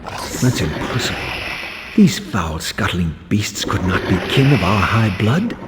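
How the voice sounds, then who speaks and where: A proud undead avenger for a dark fantasy game. His low voice broods in formal, theatrical phrases over loud ambient noise. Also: very animated, measured pace. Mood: disdainful. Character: proud, bitter undead vampire-turned-wraith avenger, male. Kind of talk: dramatic video game narration and dialogue.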